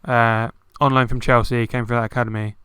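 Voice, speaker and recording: A man's voice: medium pitch, level tone, fairly quick, fairly bright tone, smooth, no echo. A young man co-hosting a casual football chat, talking loosely with many fillers. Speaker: podcast co-host.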